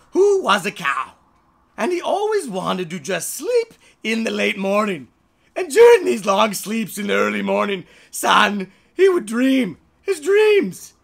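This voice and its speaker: An animated storyteller reads a children's bedtime tale with a bright, forceful voice and big swings in pitch. The delivery is theatrical and a little halting, doubling back on words and slipping in jokey asides. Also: gravelly. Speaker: author reading his own short story.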